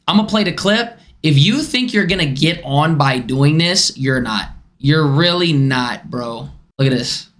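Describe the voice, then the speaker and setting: Young man streamer, high boyish voice, animated reaction talk. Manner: animated, swearing, lecturing. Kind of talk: casual live-stream reaction.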